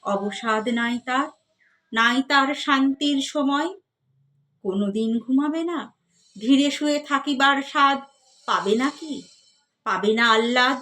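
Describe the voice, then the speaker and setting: High-voiced woman reciting poetry slowly. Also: fairly bright tone.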